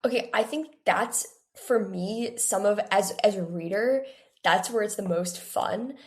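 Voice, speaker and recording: Girl around 18, normal pitch, chatty podcast guest, eager, says like a lot. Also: measured pace. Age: late teens, young adult. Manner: eager, rambling with frequent "like". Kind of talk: casual interview chat.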